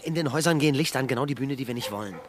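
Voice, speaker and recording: An actor playing an anxious, pragmatic political aide who speaks with urgent caution in a naturalistic radio crime drama. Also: male voice, medium pitch, racing, background noise, lightly acted. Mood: alarmed.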